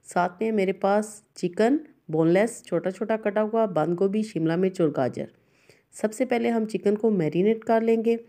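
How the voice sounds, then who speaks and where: A woman's voice: medium pitch, normal speed, dark tone. A woman hosting a cooking show, narrating a recipe step by step and instructing viewers directly.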